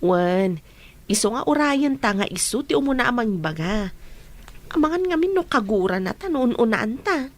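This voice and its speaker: A high-voiced, guarded young friend. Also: female voice, lively intonation, normal speed. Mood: guarded, controlled. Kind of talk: Ilocano radio drama acting.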